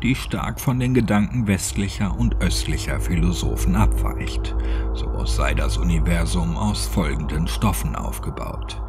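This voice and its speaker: A man narrating a scripted horror audiobook in a measured, documentary-like storytelling manner. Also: deep voice. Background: background music.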